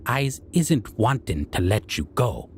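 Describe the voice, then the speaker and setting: A man performs as a civilized goblin king in a fantasy audio drama, stern and menacing. His lowish voice swings expressively through strange broken grammar, taking its time over every question. Faint noise sits behind him. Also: big pitch swings, takes it slowly. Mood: wary, deliberating. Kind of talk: scripted roleplay audio drama monologue.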